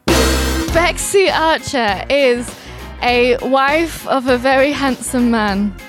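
A woman with a high voice reads comic material over clear background music. Her pace is slow and her delivery is deliberate, mock-formal and playful. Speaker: sketch comedy performer. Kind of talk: playful comic read-aloud. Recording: music playing under the voice.

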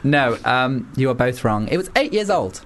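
A man's voice: medium pitch, very animated, normal speed, very noisy. A young man hosting a podcast quiz, playful and teasing, laughing often. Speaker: podcast host. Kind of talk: casual chat, quiz game.

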